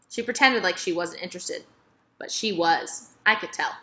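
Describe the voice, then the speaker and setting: A woman narrating a children's novel aloud in an expressive, emphatic storytelling manner. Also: on the low side.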